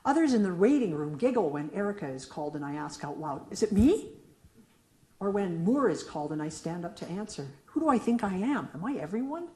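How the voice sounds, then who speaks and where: A middle-aged woman with a low, mellow voice reads her poetry aloud at a public reading. She shifts between the questions and answers of a dialogue poem, and her casual remarks between pieces are full of small fillers. The sound is roomy, with an echo from the room. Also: big pitch swings, a little fast. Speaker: poet reading her work. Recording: echoey room.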